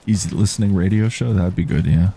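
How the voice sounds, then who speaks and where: Calm low-voiced baritone man streaming. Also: breathy edge. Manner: calm, unhurried, reads chat aloud. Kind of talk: relaxed live gameplay commentary.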